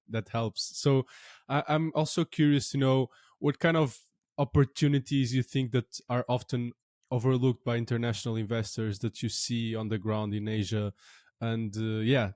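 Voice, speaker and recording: A man's voice: medium pitch, darkish tone, no room sound, studio quality. A man hosting a podcast, asking long, hesitant questions full of fillers. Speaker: podcast host. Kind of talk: interview questions.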